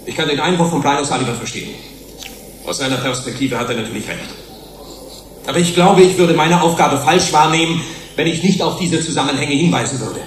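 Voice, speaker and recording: An actor playing an earnest human rights commissioner pleading before a tribunal in a deliberate, rhetorical, formal way, in a German radio drama. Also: male voice, a little high, close to an everyday voice. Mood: earnest, measured. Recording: roomy hall echo, faint hiss.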